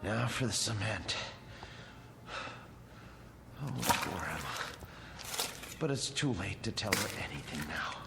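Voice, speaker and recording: An actor playing a secretive, disturbed male caretaker of wax figures in a radio drama, halting and evasive. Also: on the low side, expressive, gravelly, heavy background noise, full character voice. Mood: brooding, resigned. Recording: music under the voice.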